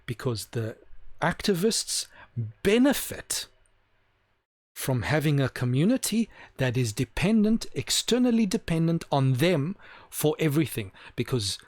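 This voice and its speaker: A man's voice: a little high, very animated, dry recording. A man giving an opinionated solo monologue to camera, emphatic and repeating his points for stress. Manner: slows down to stress key words. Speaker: video host.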